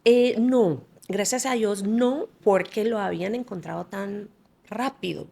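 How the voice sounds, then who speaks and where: An American-born woman in her forties, a native English speaker, talks as a podcast guest. Her voice carries a gravelly fry, and her pitch sits in the middle for a woman. She tells her story in an animated way, laughing often and restarting phrases. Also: unhurried. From United States. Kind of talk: candid conversational storytelling.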